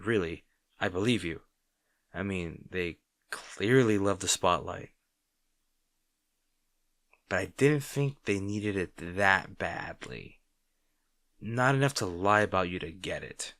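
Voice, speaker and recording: Man playing a low-voiced, snarky supervillain rival. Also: expressive, fairly bright tone, no room sound, clean studio sound, full character voice. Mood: softer, concerned. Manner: teasing, sardonic, halting when flustered. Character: snarky male supervillain rival, secretly worried about his hero. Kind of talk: dramatic roleplay monologue addressed to the listener.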